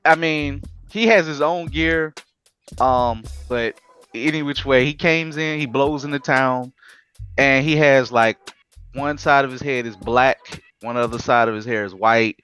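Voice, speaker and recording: A talkative man hosting a casual podcast, rambling through his opinions with animated asides. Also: mid-range voice, a little slow, faint music behind. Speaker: podcast host. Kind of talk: casual chat.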